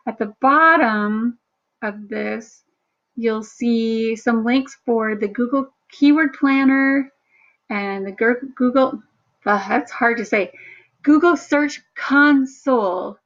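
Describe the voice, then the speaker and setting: A woman instructor talking through a screen-share workshop in a chatty, self-deprecating way, with a low, somewhat monotone voice. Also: muffled recording. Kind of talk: screen-share tutorial narration.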